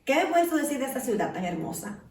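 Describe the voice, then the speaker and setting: High-pitched woman host, echoey room. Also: very animated, quick pace, darkish tone, far from the mic, slight background noise. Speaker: interview host. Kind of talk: casual interview, warm introductions. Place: home of the guest.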